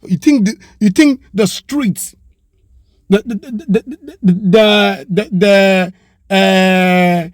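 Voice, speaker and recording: A man's voice: high voice, drawn-out words. A Nigerian man with a Pidgin English accent giving a passionate commentary, firing off rhetorical questions and slipping into Yoruba. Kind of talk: opinion commentary monologue.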